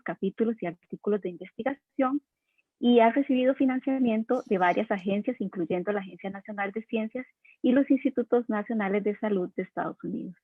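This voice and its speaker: A woman's voice: mid-range voice, dry recording. A woman moderating an online webinar, formally introducing the next speaker, with frequent fillers. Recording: tinny, phone-like audio.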